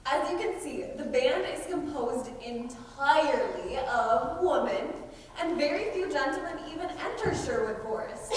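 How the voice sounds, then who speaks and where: Bossy, mocking outlaw heroine, played by a young woman, high voice, theatrical stage delivery, recorded far off in a hall. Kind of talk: high school stage comedy acting. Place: school auditorium stage. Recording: echoey hall, distant mic, noisy.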